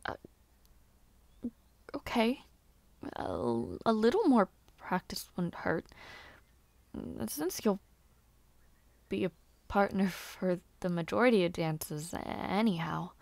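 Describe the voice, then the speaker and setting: A woman playing a bashful, playful young noble lady who aspires to knighthood in an audio roleplay, with halting, giggly speech and formal wording. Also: medium pitch, big pitch swings, gravelly, darkish tone, dry recording, full character voice. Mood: flustered, shy. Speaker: roleplay voice actor. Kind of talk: intimate scripted audio roleplay.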